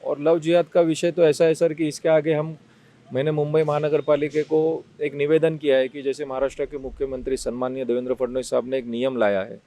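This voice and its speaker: A man's voice: on the high side, little pitch movement, a little fast, warm tone. A man speaking as a city councillor, giving serious, insistent answers in an interview.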